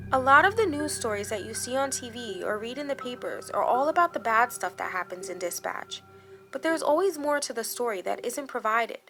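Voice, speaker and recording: A woman reads a scripted dramatic story over clear background music. Her voice sits fairly high and has a marked creak. She tells it in a measured, expressive way. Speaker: horror story narrator. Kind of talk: scripted dramatic first-person narration.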